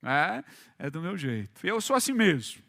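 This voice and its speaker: A Brazilian pastor preaching with a high, bright voice. He repeats his phrases for weight, sometimes rising to loud emphasis and then falling to a quiet, low delivery. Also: very animated, moderate pace, gravelly. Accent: Brazilian Portuguese. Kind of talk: sermon.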